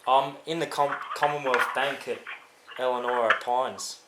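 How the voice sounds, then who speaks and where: A man's voice: medium pitch, steady tone, a little slow. An Australian man chatting casually on a phone call, matey and rambling in his manner. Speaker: prank caller. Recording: roomy, faintly noisy, echoing phone-call recording.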